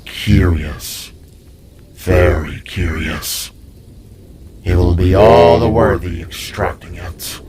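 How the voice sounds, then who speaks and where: Very slow, deep shadow monster. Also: male voice, fairly even intonation, a loud crowd. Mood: cold, menacing. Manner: slow, zombie-like drawl. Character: menacing monstrous shadow variant, cold and zombie-like.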